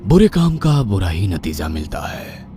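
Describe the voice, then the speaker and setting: Deep-voiced man narrating horror, very animated. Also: normal speed. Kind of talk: dramatic scripted horror story narration. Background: music.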